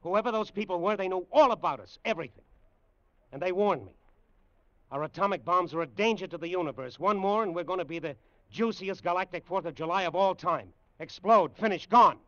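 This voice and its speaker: An actor playing a shaken, insistent air force major and test pilot in an old-time radio drama, speaking with urgency. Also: male voice, sits high, a little fast, a bit bright. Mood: agitated, insistent. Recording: muffled, faintly noisy old radio recording.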